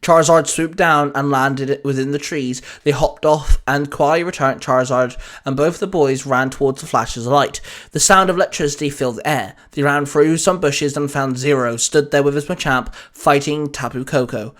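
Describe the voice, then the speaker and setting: A man's voice: mid-range voice, moderate pace, a bit bright. A man reading out a scripted adventure story as narrator. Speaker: storyteller, fan fiction reader.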